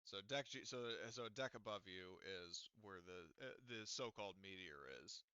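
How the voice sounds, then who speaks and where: Guy running a tabletop rpg, low bright voice, smooth, um-ing and cursing a lot, muffled dry sound. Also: expressive, moderate pace, dry recording. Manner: loose, rambling, full of fillers and casual swearing. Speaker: game master. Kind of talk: narrating a tabletop game.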